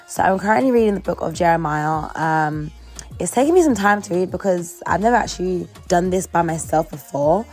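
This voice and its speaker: A young woman with a London accent talks in a slightly low voice. She is informal and playful, full of slang, as if chatting to her followers about her morning. Light music plays behind her. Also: a little fast, darkish tone. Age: early 20s. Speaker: vlogger. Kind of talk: casual, chatty morning-routine vlog narration.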